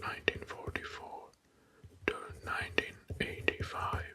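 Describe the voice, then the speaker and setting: A man whispering an ASMR history narration in a breathy, mostly unvoiced voice, pausing between short phrases and often repeating words. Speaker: ASMR host presenting history facts. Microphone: close microphone.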